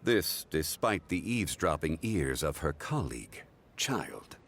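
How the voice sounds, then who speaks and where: An actor playing an ancient god in mortal form in a video game, formal, measured and solemn. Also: male voice, extremely low pitch, big pitch swings, fairly bright tone, soft background music, full character voice. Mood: composed. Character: ancient god living as a composed, scholarly man. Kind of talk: dramatic game voice acting.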